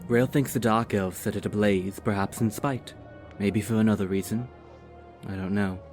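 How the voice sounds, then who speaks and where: A man's voice: on the low side, fairly even intonation, moderate pace, darkish tone, soft background music, natural voice. A man voicing a loyal, good-humored male warrior speaking earnestly to an old friend.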